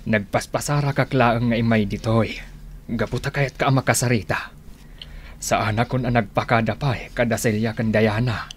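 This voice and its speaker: A man's voice: mid-range voice, steady tone, normal speed, slight background noise, lightly acted. A man with an Ilocano accent acting a vengeful, grieving older father in a radio drama. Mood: stern. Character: vengeful older father Tata Ignas, raging with grief.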